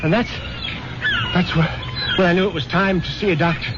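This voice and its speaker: An actor playing an anxious, guilt-haunted husband, halting and stammering, in the manner of 1970s radio drama. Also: male voice, high-pitched, steady tone, heavy background noise, natural voice. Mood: rising panic. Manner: halting, stammering, repeats words. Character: anxious, guilt-haunted widowed husband recounting his dread. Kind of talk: 1970s radio drama acting, first-person narration. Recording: muffled vintage radio recording with loud music.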